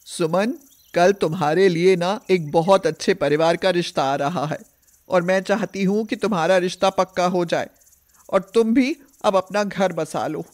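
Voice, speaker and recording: Woman voicing a slow-talking, coaxing middle-aged mother. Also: lowish voice, a little mellow, close to an everyday voice. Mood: coaxing, insistent. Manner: pleading, coaxing. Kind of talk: animated moral story dialogue.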